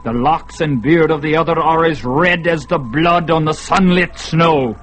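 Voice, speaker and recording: A man narrating a radio drama in a grave, measured, dramatic voice. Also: fairly high pitch, a bit bright, slight background noise. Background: music.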